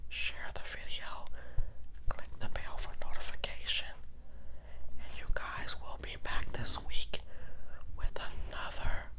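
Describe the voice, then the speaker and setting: A woman host telling a story in a breathy ASMR whisper, with deliberate phrasing and dramatic pauses. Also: low-quality recording.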